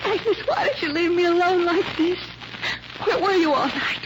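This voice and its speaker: Frightened, very high-voiced young woman. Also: expressive, moderate pace, dull audio. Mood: distressed, pleading. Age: young adult. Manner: pleading, anguished. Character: frightened, fragile twenty-year-old woman near madness. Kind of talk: 1940s radio melodrama acting. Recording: very noisy vintage radio recording.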